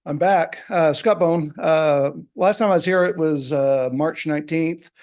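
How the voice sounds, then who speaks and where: Hesitant man, halting delivery. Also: on the high side, a little mellow. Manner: hesitant, many fillers. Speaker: public commenter, resident. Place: city council chamber.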